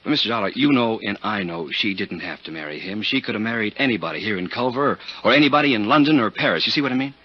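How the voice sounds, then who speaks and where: An actor playing a flustered, gossipy American insurance broker, earnest and confiding, in a 1950s radio drama. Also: male voice, on the low side, animated, fast talker, hardly any pauses. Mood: bitter, confiding. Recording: muffled 1950s radio recording.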